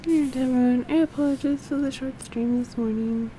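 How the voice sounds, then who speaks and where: A woman livestreaming a game, halting and a little unwell, reading quest text and commenting casually on her play. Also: sits high, fairly even intonation, mellow, slight background noise, rough recording. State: feeling unwell.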